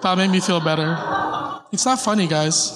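A man's voice: high-pitched, moderate pace, low-quality recording. A young man giving a live storytelling talk to an audience, joking and self-deprecating, chatting with the crowd and stammering through restarts. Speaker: guest speaker at a live storytelling event. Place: event room with a live audience.

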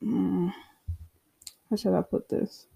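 A young podcast host in their twenties, talking alone in a loose, unscripted way. The delivery is slow and unhurried, the tone mellow and dark, candid and profane with frequent asides. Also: medium pitch, flat delivery, creaky, husky. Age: 20s. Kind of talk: casual solo monologue.

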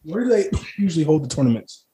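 A man's voice: fairly high pitch, big pitch swings, fast, mellow, breathy edge. A man co-hosting a podcast in casual sports chat, with a slangy, profane way of talking. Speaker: podcast co-host. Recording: noisy recording with clicks.

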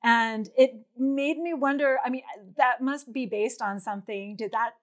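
A woman's voice: fairly high pitch, normal speed. An American woman hosting an interview in a chatty, informal, conversational way. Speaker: interview host.

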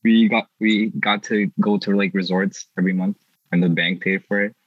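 A man's voice: on the low side, steady tone, moderate pace, dry recording, lo-fi sound. A young man from India telling his family story in a laid-back, slangy way during a casual chat. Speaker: youth artist guest.

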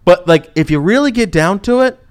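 A young man co-hosting a podcast, his voice sitting a little higher than most men's. He chats casually in slang and acts out the people he quotes. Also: a little fast, smooth. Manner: animated storytelling with slang and acted-out quotes. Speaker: podcast co-host.

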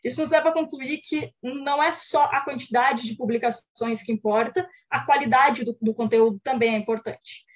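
A woman's voice: high-pitched, fairly even intonation, moderate pace, hardly any pauses. A young woman informally explaining research in an online panel, often checking in with little tag questions. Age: young adult. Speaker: academic researcher, guest presenter.

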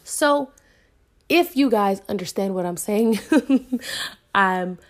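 A woman's voice: mid-range voice, lively intonation, takes it slowly. A woman in her thirties hosting a podcast, giving an inspirational faith talk to listeners in an earnest, emphatic way with preacher-like repetition. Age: 30s. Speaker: podcast host.

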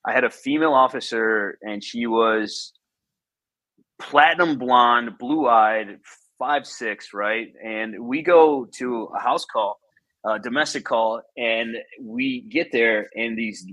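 A man's voice: medium pitch, unhurried, fairly bright tone, no room sound, poor audio. A man in his thirties from Chicago whose first language is Spanish, a former police officer telling loose, profane stories with laughs on a podcast. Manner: laid-back, laughing, profane storyteller. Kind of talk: casual storytelling chat.

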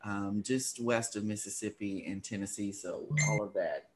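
A man's voice: fairly low pitch, normal speed, background noise. A man with a slight Arkansas accent, guest co-host on a casual podcast, talking animatedly with frequent laughs. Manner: laughs often, animated, many fillers.

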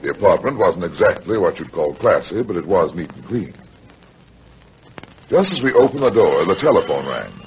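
An actor playing a wry, kindly hard-boiled detective in an old-time radio drama, clipped and matter-of-fact. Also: male voice, low-pitched, big pitch swings, normal speed, faint music behind. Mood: matter-of-fact narrating. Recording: muffled, noisy old radio recording.

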